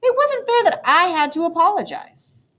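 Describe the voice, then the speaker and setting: A woman teacher reading a children's story aloud, with expressive, dramatic emphasis for young listeners. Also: very high-pitched, moderate pace. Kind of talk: animated children's book read-aloud.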